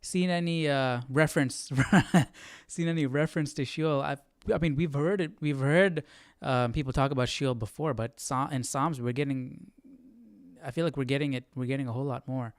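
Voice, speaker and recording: A man hosting an informal Bible study, teaching casually and thinking aloud with halting, repeated phrases. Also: medium pitch, smooth, no echo, studio quality. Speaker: host of a Bible study live stream.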